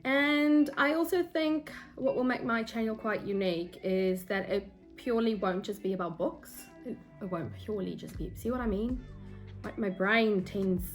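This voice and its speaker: A woman's voice: mid-range voice, normal speed, faint music behind. A young woman from South Africa with a South African accent, her first language Afrikaans, chatting casually and self-deprecatingly to camera as a book vlogger, with frequent ums. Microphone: phone or camera mic at desk.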